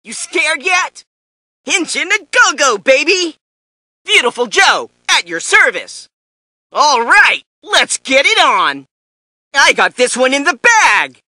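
High-pitched cocky young superhero. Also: male voice, sing-song, slow, no echo, studio quality, exaggerated voice. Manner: shouted catchphrases, brash and showy. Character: cocky, excitable young male superhero fighter. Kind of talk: fighting game voice clips.

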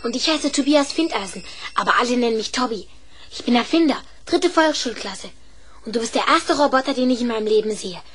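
An actress playing a curious, eager young schoolboy inventor in a children's audio play, inquisitive and boyishly earnest. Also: female voice, fairly high pitch, animated, fairly quick, a bit bright, slight background noise. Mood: eager, friendly. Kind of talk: 1970s German children's audio play acting.

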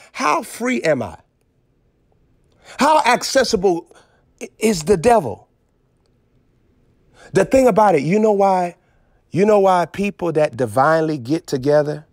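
A man's voice: a little high, no room sound, clean studio sound. A male preacher giving a streamed sermon in an emphatic, repetitive, scolding manner. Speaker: prophet preacher.

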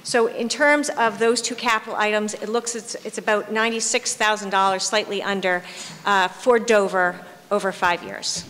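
A woman's voice: medium pitch, steady tone, moderate pace, fairly bright tone. A woman speaking at a podium microphone, friendly and self-deprecating, explaining school budget figures at a town hearing. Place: large hall, at a podium. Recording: reverberant hall with faint room noise.

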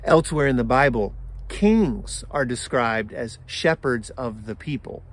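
An American man in his forties preaches as a pastor. His voice sits at an ordinary male pitch, and he takes his time, setting down short measured phrases with deliberate pauses between them. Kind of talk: sermon for an online service. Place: outdoors in a garden.

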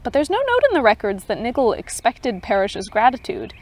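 A woman voicing a gentle but authoritative unseen advocate who speaks kindly and with measured calm. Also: on the high side. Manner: kind, measured, pleading.

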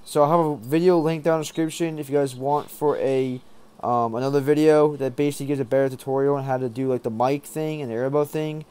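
A young man giving a casual app tutorial in a rambling, halting way, often repeating himself. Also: medium pitch, steady tone, darkish tone, clean tone. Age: young adult. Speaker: tech tutorial presenter.

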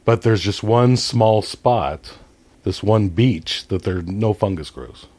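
Low-voiced man, halting conversational delivery. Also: darkish tone. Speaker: podcast guest. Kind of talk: casual conversational movie discussion, retelling a story.

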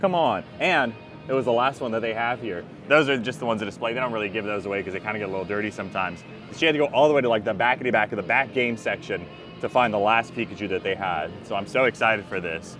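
A man in his thirties vlogging casually at an outdoor theme park game booth, chatty and excitable. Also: on the low side, fast. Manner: upbeat, chatty, excitable while playing. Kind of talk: casual vlog chat. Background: background music.

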